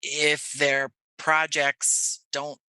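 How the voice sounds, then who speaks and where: Bright, forceful American man in conversation. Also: on the high side, monotone, drawn-out words, clean tone, no room sound. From Jersey City, New Jersey, United States. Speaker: interview guest, playwright and actor. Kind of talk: conversational podcast interview.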